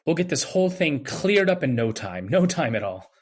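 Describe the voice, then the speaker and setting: A man playing a bewildered, earnest man insisting on his innocence in an old-time radio drama, with a husky voice. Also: a little high, big pitch swings, normal speed. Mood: strained.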